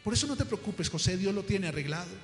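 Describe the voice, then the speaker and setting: A solemn, soothing messenger from heaven in a spoken parable, played by a male storyteller. The delivery is calm and unhurried, carried by the long reverb of a hall with music underneath. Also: sits high. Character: calm heavenly messenger voice come to take José. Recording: echoey hall, music behind.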